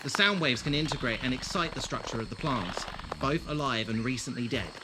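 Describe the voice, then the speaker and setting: An actor playing a whimsical alien time traveller in an audio drama, theatrical and wordy, with a wry, teasing way of talking. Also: male voice, mid-range voice, expressive, fairly quick, very noisy, natural voice. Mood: wry, patient.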